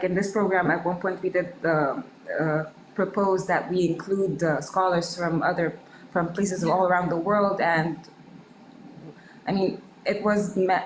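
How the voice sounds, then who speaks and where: A woman presenting at a symposium, answering questions with hesitant, repeated words. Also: fairly low pitch. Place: university symposium room. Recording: echoey room with faint noise.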